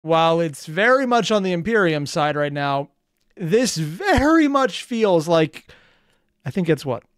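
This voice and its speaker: A man's voice: on the high side, sing-song, unhurried, dry recording, studio quality. A man hosting a reaction video with casual, rambling commentary, full of slang and wry asides to viewers.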